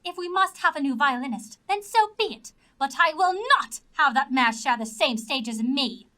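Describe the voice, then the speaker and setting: A woman's voice: high-pitched, expressive, moderate pace, bright, forceful tone. A woman playing a vain, scornful female pony opera diva in an audio drama, disdainful and theatrical. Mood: grudging, haughty.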